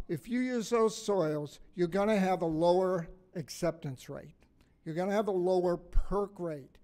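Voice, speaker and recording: An older man in his sixties gives a conference talk at a podium mic, his voice slightly raspy and pitched high for a man. He speaks bluntly and folksily, joking and putting questions to the room. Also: a little mellow. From Massachusetts, USA. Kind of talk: conference talk to a live audience. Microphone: stand mic on a podium.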